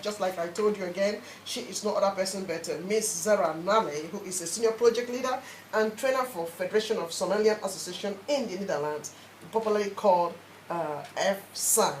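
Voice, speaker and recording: A woman's voice: medium pitch, a little fast, a little mellow, echoey room, a little hiss or hum, rough recording. A woman hosting a radio talk show who introduces her guests and presses them with repeated questions.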